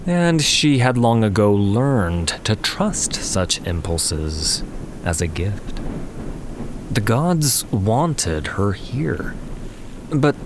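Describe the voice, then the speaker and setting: A man narrating a scripted fantasy story in a measured, solemn manner. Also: fairly low pitch, sing-song, noisy background. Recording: faint ambience behind the voice.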